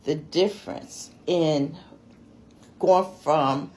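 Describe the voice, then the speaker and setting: Low-voiced woman, affirming co-host. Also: drawn-out words, darkish tone. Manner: affirming, frequent murmured agreement. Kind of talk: conversational teaching.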